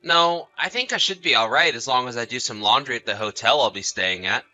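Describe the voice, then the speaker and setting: A man's voice: medium pitch, animated, fairly quick, bright, forceful tone, faint music behind, poor audio. A man playing an easygoing, sociable husband in chatty, casual audio drama dialogue. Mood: apologetic, reassuring. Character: easygoing husband, sociable, placating, mildly defensive.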